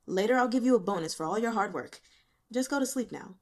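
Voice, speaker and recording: A woman's voice: on the high side, expressive, fast. A woman voicing a cool, cheerful male director with a jokingly theatrical manner in a story reading. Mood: soothing.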